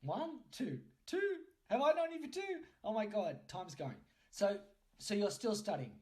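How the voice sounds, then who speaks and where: A man's voice: sits high, big pitch swings, measured pace, darkish tone. A man hosting a podcast in a casual, chatty interview style, often interjecting and teasing his guests. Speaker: podcast host.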